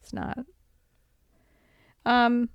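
A woman co-hosting a podcast in a casual, playful chat about film, with an animated way of talking. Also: very slow, no echo, muffled recording. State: tired. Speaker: podcast co-host.

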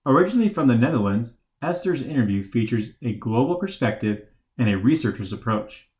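A man co-hosting a podcast, reading a scripted introduction in a brisk announcer-like way. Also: medium pitch, lively intonation, a little mellow. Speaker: podcast co-host. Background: music.

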